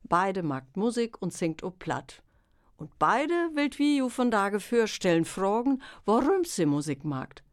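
Lively woman host with a Münsterland Low German accent. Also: unhurried. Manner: lively, laughs a lot, mixes Low and High German. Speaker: radio host. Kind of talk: casual radio interview. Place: radio studio.

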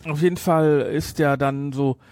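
A man's voice: a little high, fairly even intonation, measured pace, a little mellow, no echo. Casual male podcast co-host, close dry sound. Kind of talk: casual chat reviewing a film.

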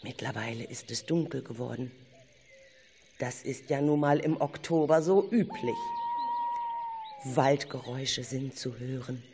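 A radio play narrator with a very animated, dramatic delivery. The scripted storytelling moves at an unhurried pace, over faint noise and ambience. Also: medium pitch, vocal fry. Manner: dramatic storytelling, addresses listeners.

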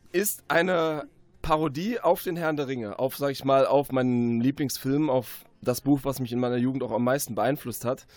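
A man speaking as an author in a radio interview, conversational and reflective, often pausing as he forms his sentences. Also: medium pitch, darkish tone, no room sound.

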